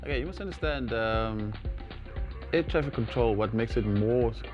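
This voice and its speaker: A man's voice: mid-range voice, normal speed, muffled recording, over music. A South African man giving formal explanations as an interview guest, in hesitant, stop-start phrases. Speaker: guest, air traffic controller. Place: TV studio.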